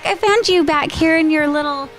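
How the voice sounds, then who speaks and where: A woman's voice: high voice, moderate pace, a bit bright, soft background music. A woman hosting a TV interview, upbeat and chatty, affirming her guests. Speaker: host.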